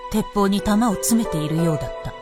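A woman's voice: medium pitch, a little fast, music in the background, close to an everyday voice. A woman narrator delivering scripted storytelling narration for a Japanese radio drama.

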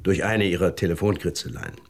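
An actor in a German radio play voicing a shrewd, relentless police detective with a formal, insistent manner. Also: male voice, deep voice, brisk, breathy edge. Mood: conciliatory, explaining.

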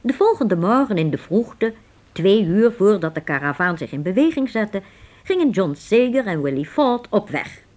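Husky, animated woman narrator. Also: medium pitch, moderate pace. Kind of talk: scripted radio drama narration, storytelling.

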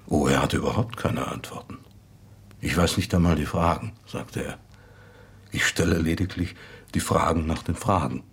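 A thoughtful man in a reflective radio play, looking back on a friend. His voice is low and very husky, and he speaks in measured, articulate phrases. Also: fairly even intonation, heavily acted. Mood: reminiscing. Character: thoughtful man recalling a late intellectual friend. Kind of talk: reflective radio drama monologue.

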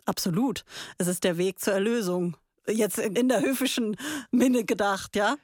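A woman speaks in a measured, articulate, pointed way, as a co-host in conversation. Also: mid-range voice, darkish tone, no room sound, studio quality. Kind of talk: conversational discussion, posing questions.

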